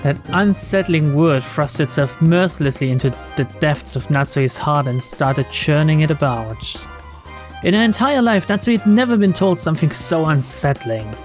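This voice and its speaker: A man reading a visual novel aloud as narrator, in an expressive, dramatic storytelling style. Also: on the high side, moderate pace. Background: faint game music.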